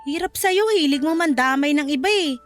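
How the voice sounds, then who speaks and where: A woman's voice: high voice, a little fast, soft background music, close to an everyday voice. An actress playing a nagging, exasperated young wife and mother in a Filipino radio drama, scolding and pleading. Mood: irritated, scolding.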